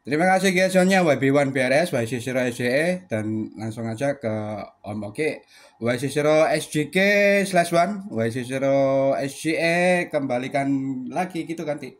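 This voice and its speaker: A man's voice: mid-range voice, moderate pace. A man from Surabaya chatting casually over ham radio, with lots of fillers, ending each turn with a sign-off word. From Surabaya, Indonesia. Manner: chatty, many fillers, ends turns with "ganti". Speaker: ham radio operator and channel host.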